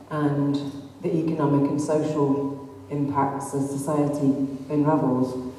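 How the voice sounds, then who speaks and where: A woman's voice: low voice, mellow. A middle-aged woman with a Greater Manchester accent gives an impassioned public talk to an audience, full of ums and rhetorical questions. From North West England. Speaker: activist speaker. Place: arts centre hall. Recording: distant echoey hall recording.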